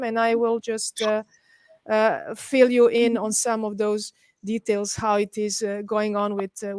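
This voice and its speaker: A woman's voice: on the high side, no echo. A middle-aged woman with a Serbian accent, giving a presentation in an online webinar. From Belgrade, Serbia. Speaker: guest presenter, urban planner. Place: indoors, on an online webinar call.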